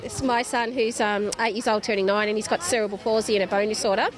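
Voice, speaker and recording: A woman's voice: medium pitch, fairly even intonation, quick pace. A woman with an Australian accent giving an informal interview as a parent, outdoors at an event. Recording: outdoor event with crowd noise.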